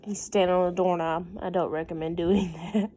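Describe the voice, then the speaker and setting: A woman teacher reading a picture book aloud to young children, with chatty asides and questions, in an expressive, gentle teacherly manner. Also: fairly low pitch, moderate pace, slight background noise.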